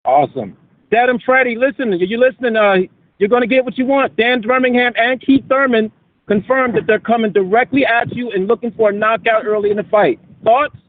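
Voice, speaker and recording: A man's voice: very high voice, brisk. A man working as a reporter, asking conversational press questions with hesitant but emphatic phrasing. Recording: noisy tinny phone line.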